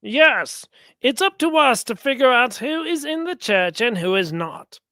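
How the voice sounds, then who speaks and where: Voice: medium pitch, animated, a little slow, sharp tone, no echo, clean studio sound. A commentator giving sarcastic religious reactions to clips, mocking and often acting out imagined exchanges.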